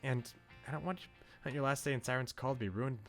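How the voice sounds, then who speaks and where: An earnest, devoted young woman in a visual novel voiced by a man in a mid-pitched male voice. She speaks emphatically, confiding in her leader. Soft game music runs underneath. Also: fairly even intonation, fast, natural voice. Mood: subdued, resigned. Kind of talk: reading visual novel dialogue aloud in character.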